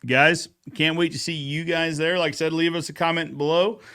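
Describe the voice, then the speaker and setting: A man hosting a casual, bantering recap show in an animated, informal way. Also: fairly high pitch, normal speed, smooth. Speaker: show host.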